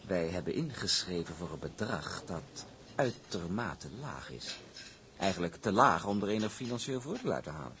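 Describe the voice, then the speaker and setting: Deep, swooping, smug shipping boss. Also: male voice, big pitch swings, a bit bright. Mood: smug scheming. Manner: self-satisfied, deliberate, emphatic. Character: smug, calculating shipping magnate, confident and scheming. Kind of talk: radio drama acting.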